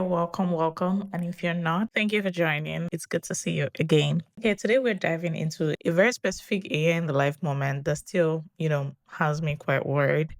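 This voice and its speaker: A video commentator with a medium-pitched voice talks casually to viewers about a TV drama. The delivery is conversational and reflective, with hedging asides along the way.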